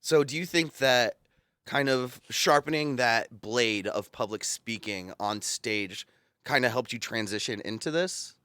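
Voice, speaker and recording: A man's voice: medium pitch, measured pace, pushed and bright, clear, resonant tone, no room sound. A man hosting a podcast, stuffed up, chatting casually in a playful, teasing way. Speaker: podcast host.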